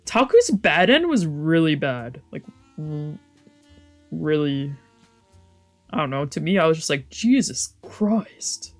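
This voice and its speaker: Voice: mid-range voice, big pitch swings, takes it slowly, soft background music. Casual, sweary let's play streamer. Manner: casual, sweary, exclaims and reacts freely. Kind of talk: casual game commentary mixed with reading visual novel text aloud.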